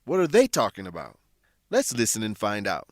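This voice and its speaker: A man hosting a radio drama for English learners, narrating from a script and putting clear questions to the listeners. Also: on the low side, wildly swooping pitch, fairly quick, dry recording, clean studio sound.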